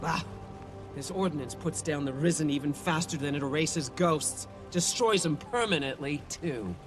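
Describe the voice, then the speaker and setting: A man's voice: fairly high pitch, moderate pace, close to an everyday voice. A man playing a gloating, battle-happy soldier in a video game. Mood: satisfied. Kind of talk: video game cutscene acting. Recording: loud game music behind.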